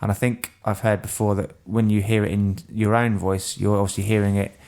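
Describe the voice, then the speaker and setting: Podcast host: man, London accent, low voice, jokey and rambling. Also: level tone, fairly quick, a little mellow. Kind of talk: casual chat.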